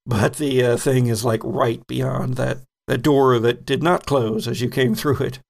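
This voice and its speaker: A man's voice: medium pitch, fairly even intonation, a little slow, gravelly. A man narrating as game master of a horror roleplaying game, conversational and descriptive as he improvises.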